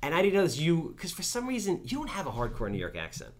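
A man's voice: a little high, wildly swooping pitch, fast, slight background noise. A man in his thirties hosting a casual podcast, joking and hyping up his guest. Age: 30s. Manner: joking, hyped intro reading. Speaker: podcast host.

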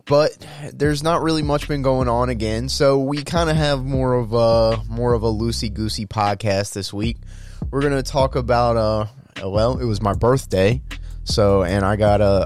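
A man in his twenties hosting a podcast, chatting casually and jokingly, with lots of fillers and restarts. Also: mid-range voice. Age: 20s. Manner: rambling, jokey, with many fillers and restarts. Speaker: podcast host.

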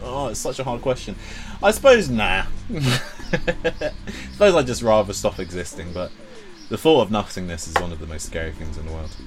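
A man's voice: fairly low pitch, expressive, brisk, music in the background. A man hosting a podcast, joking around in casual chat. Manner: playful, jokey, laughs often. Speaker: podcast host.

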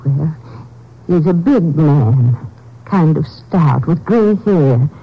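A woman's voice: sits low, lively intonation, slow pace, breathy edge, heavily acted. An actress playing a weary, troubled elderly woman in a 1950s radio drama, hesitant, polite and subdued. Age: older. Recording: dull, lo-fi old-time radio recording.

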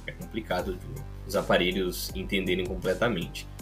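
A Brazilian man with a fairly low voice talks through ideas in a loose, easygoing podcast chat, tossing in fillers and small laughs. Soft music plays faintly underneath. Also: moderate pace. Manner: relaxed, conversational, laughs lightly, many fillers. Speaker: podcast co-host.

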